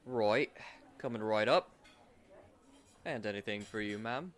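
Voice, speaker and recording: A man's voice: fairly low pitch, a little slow, close to an everyday voice. A man voicing a brash, loyal friend and self-appointed protector in a comic audio drama, blustering and informal. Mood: brisk, polite.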